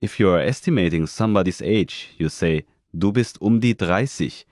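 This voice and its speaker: A man reading words for a language lesson, saying each one twice, slowly and deliberately. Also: low voice, expressive, darkish tone, no echo, studio quality. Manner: says each word twice, slow and deliberate. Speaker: German pronunciation speaker. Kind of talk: slow pronunciation drills.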